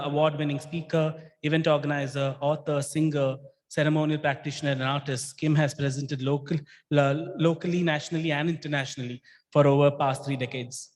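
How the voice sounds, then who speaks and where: A man, hosting an event, reading announcements and an introduction in a measured, halting way with fillers and restarts. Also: fairly high pitch. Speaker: event host. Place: large event hall. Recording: reverberant event hall.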